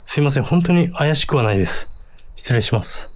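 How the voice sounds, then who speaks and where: A man's voice: mid-range voice, animated, moderate pace. A man chatting casually on a ghost hunt, easily frightened and nervously polite, recorded outdoors at night. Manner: easily frightened, jittery, pleading politely with spirits. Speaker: member of a ghost-hunting video duo. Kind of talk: casual on-location ghost-hunting chat. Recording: noisy outdoor recording with insects. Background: crickets and insect noise.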